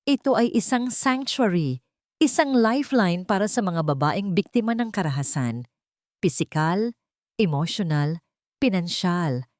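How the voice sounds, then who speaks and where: A determined, hopeful Filipino woman leader in an audiobook story, voiced by a woman narrator. She speaks formally and earnestly, declaiming in a slightly low voice with plenty of melodic movement. The recording is dry. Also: lively intonation, unhurried, dry recording. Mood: earnest, resolute. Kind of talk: audiobook character speech.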